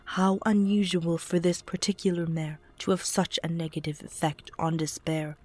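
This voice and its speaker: A woman voicing a calm mystic spirit guide who speaks in solemn rhymes. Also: lowish voice, normal speed, lightly acted. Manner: solemn, rhyming.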